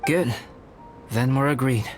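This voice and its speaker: A man playing a calm, scholarly, youthful alchemist in a video game, speaking formally and precisely with detached reserve. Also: mid-range voice, slow, soft background music, lightly acted. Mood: composed, courteous. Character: calm, scholarly young-looking male alchemist, reserved and aloof. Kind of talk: video game character acting.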